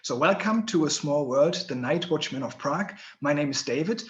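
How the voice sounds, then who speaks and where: Guy hosting a zoom tour, slightly higher voice, chatty, lots of ums. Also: animated, moderate pace, lo-fi sound. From Germany. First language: German. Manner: friendly, chatty, slightly non-native phrasing with fillers. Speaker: tour guide and host. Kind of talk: live online tour introduction. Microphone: video call.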